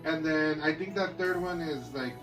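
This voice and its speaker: Rambling high-voiced man over clear music. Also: normal speed. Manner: rambling, slangy, swears freely. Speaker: podcast co-host. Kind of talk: casual chat about movies and video games. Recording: distant mic with music behind.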